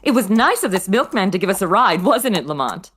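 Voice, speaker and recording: A woman's voice: medium pitch, big pitch swings, brisk, a bit bright, faint music behind. A woman playing a witty, spirited society lady in an old-time radio drama, theatrical and arch in manner. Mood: arch, playful.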